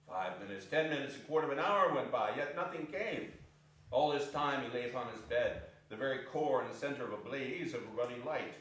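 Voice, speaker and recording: A man's voice: mid-range voice, a bit bright. A man reading narration aloud as part of a theatrical dramatic reading. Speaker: narrator and reader. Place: performance hall, live reading. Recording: echoey hall, distant microphone, noisy background.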